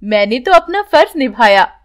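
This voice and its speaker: A woman's voice: high-pitched, very animated, normal speed. A woman voicing a spirited, devoted young granddaughter in a storybook tale, earnest and affectionate. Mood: affectionate, determined.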